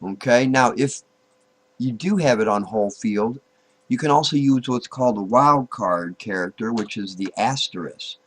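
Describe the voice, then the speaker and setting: A man giving an informal, unscripted software tutorial, thinking aloud, halting and repeating himself as he goes. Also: medium pitch. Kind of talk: informal screen-recorded tutorial, unscripted walk-through.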